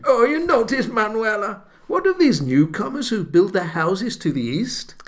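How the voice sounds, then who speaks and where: Casting: man doing cowardly villager, raised pleading whine, swooping pitch, radio comedy. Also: very animated, moderate pace. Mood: coy. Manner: pleading, tearful. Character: timid, whining, cowardly village man, henpecked. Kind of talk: comic radio play acting.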